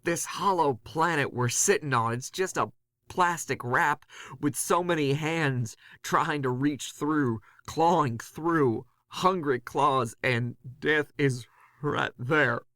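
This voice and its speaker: An actor playing a haunted, panicked man recording a halting, anguished confession in a horror audio drama. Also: fairly high pitch, a bit bright, no echo, clean studio sound. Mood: desperate dread. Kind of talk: first-person horror audio drama monologue.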